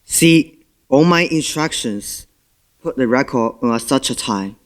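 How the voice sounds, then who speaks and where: A man's voice: medium pitch, lively intonation, measured pace, close to an everyday voice. A young man in an amateur stage play, acting a respectful but defensive household butler. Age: young adult. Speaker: student stage actor.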